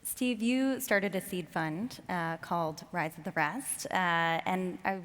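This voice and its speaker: A woman's voice: fairly low pitch, takes it slowly, a bit bright. A woman moderating an onstage discussion, putting questions to panel guests. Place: conference stage in a large hall. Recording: reverberant hall, stage sound system.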